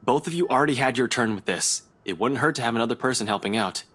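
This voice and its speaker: A man's voice: medium pitch, fast talker, a bit bright, breathy edge. An actor playing a reasonable, firm male friend in a video game. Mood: firm, reasoning.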